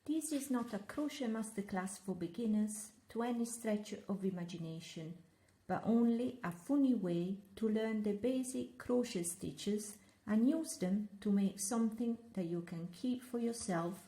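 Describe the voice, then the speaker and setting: An Italian woman walks viewers through crochet stitches at an unhurried pace, her voice mellow and soft-edged. She keeps it light and chatty, slipping in gentle jokes between instructions. The room around her sounds echoey. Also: medium pitch. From Italy. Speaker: crochet teacher. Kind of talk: step-by-step craft tutorial.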